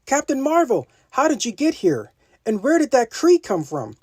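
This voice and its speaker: A woman's voice: sits high, wildly swooping pitch, moderate pace, darkish tone, slightly rough, no echo, studio quality, voice pushed up, full character voice. A woman voicing a tough, battle-weary but clever alien warrior woman in a children's storybook. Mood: surprised, urgent. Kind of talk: animated children's storybook dialogue.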